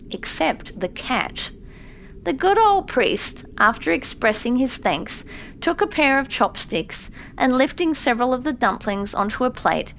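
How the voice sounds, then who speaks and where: A woman reading a literary audiobook in a measured, careful storytelling manner. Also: on the high side, vocal fry, slight background noise. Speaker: audiobook narrator. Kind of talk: scripted literary audiobook narration.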